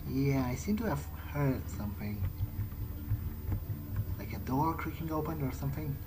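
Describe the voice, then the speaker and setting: A man's voice: mid-range voice, moderate pace, noisy background. A man doing in-character video game commentary, musing aloud in a hesitant, wryly understated way. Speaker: let's play gamer. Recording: game music behind the voice. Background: video game soundtrack.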